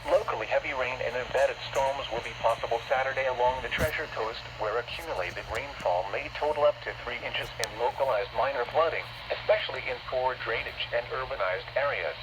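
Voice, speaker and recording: A man's voice: medium pitch, a little fast, few pauses. Man reading radio forecast through noise. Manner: formal, impersonal, segmented delivery. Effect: weather radio broadcast. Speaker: weather radio announcer. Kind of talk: scripted forecast bulletin reading. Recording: noisy radio reception, static.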